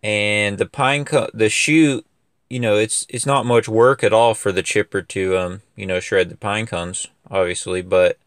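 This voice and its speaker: Young lawn care dude talking loose and rambly, lots of ums, smooth clean voice. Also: male voice, lowish voice, fairly even intonation, a little slow, a bit bright, dry recording, studio quality. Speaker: lawn care business owner. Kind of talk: casual equipment review vlog. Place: outdoors in a wooded yard.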